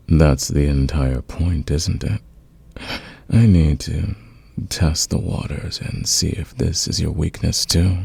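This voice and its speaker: Smitten fantasy villain played by a man: extremely low, husky voice, unhurried, teasing and dominant, for intimate ASMR romance. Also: warm tone, no room sound, heavily acted. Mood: teasing, reassuring. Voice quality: gravelly, husky. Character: smitten male fantasy villain, patient, dominant, smoothly seductive. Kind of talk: romantic ASMR audio roleplay.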